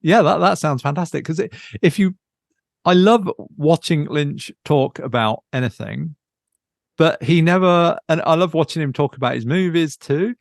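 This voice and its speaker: A man's voice: a little high, normal speed, vocal fry, no echo, clean studio sound. A man chatting casually about films as a podcast co-host.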